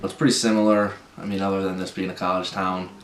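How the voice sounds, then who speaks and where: A young man from Wisconsin answers interview questions in a relaxed, easygoing way, often starting with "uh". His voice is very low and stays flat. The room around him is echoey, with faint background noise. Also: fast talker. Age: young adult. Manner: relaxed, frequent uh fillers. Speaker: interviewee, accounting student.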